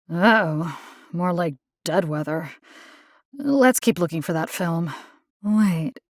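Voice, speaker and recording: Dry studio sound, wry young game heroine, caring, plucky, lively mid-range pitch. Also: female voice, no echo. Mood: focused, indignant. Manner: casual, wry, expressive, slipping into Spanish slang. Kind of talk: video game character voice lines.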